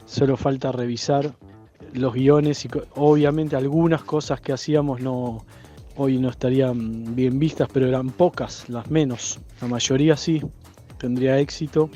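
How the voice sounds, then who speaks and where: An Argentine man reflects in an interview with a mellow, dark-toned voice at middle pitch, faint music underneath. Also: steady tone, moderate pace.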